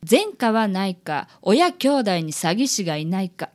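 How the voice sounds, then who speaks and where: A woman's voice: mid-range voice, very animated, unhurried. A woman playing a haughty, condescending wealthy company president in a comedic radio drama. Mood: proud, demanding. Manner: condescending, ladylike, self-satisfied.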